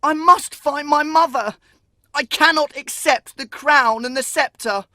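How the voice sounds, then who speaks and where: A man's voice: very high-pitched, measured pace, sharp tone, no echo, clean studio sound, pitched above the performer's own voice, heavily acted. A man voicing a sad, humble, frightened young boy in a fairy tale, earnest and plaintive. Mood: distressed, humble.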